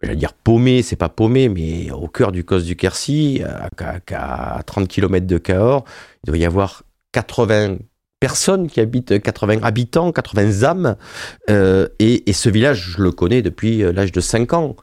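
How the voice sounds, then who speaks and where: A man's voice: on the low side, very animated, moderate pace, a little mellow, no room sound, clean studio sound. A man in his fifties, an author being interviewed on radio, talking with many hesitations and restarts. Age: 50s. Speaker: author and wine journalist.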